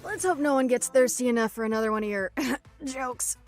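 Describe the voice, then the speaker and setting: A woman's voice: fairly high pitch, brisk, faint music behind. A woman co-hosting a video game showcase with scripted comedy and a wry, teasing manner. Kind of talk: scripted comic presenting.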